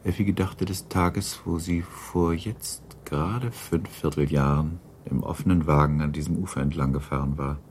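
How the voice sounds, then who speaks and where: A man narrates a literary radio drama in a very low voice. The delivery is scripted storytelling, over faint background noise. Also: normal speed, hardly any pauses, a little mellow.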